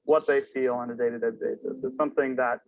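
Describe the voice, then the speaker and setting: A man's voice: mid-range voice, fairly even intonation, quick pace, gravelly, narrow, tinny audio. Thoughtful young man from Ohio. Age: 20s. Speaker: camp counselor. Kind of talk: testimonial interview.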